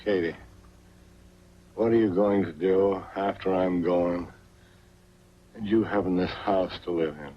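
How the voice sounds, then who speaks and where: An actor playing a cantankerous, domineering old rich grandfather with a creaky voice, in 1940s film style with an American accent. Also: low-pitched, fairly even intonation, measured pace, pauses often. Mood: grumbling. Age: older. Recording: dull, noisy 1940s film soundtrack with hum.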